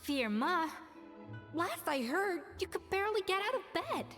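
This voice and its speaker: A woman voicing an exacting, haughty young master chef in a video game, crisp and cutting. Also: very high voice, sing-song, measured pace, a bit bright, in a large hall, faint music behind, heavily acted. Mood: concerned.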